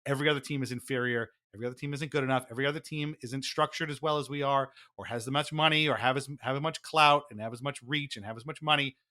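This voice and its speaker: A man's voice: medium pitch, fairly even intonation, fast, hardly any pauses, sharp tone. A man co-hosting a sports podcast, giving opinionated commentary in an emphatic, wryly exasperated way. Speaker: podcast co-host.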